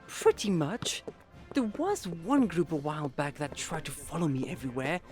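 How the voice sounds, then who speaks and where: Casting: actress as chatty naive young royal prince, animated delivery, slightly low female pitch, audio drama. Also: normal speed, faint music behind. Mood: breezy.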